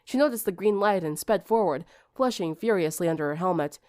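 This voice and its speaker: A woman's voice: fairly low pitch, animated, a little fast, mellow, no echo, studio quality. A woman reading scripted fiction as an audiobook narrator.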